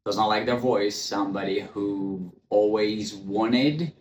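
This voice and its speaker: A man's voice: lowish voice, slow, darkish tone, slight background noise. Candid male podcast host, echoey room. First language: not English. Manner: stutters, restarts, many ums; frank, blunt, swears casually. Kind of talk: candid solo podcast monologue. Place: room, recording on camera. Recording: echoey room, faint ambience.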